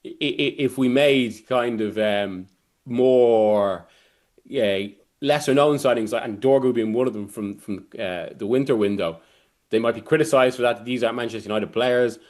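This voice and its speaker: A man's voice: medium pitch, darkish tone. A man giving football analysis as a guest journalist, rambling and often interrupting himself as he works through a point. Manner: halting, rambling, self-interrupting delivery. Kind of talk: sports discussion, analysis.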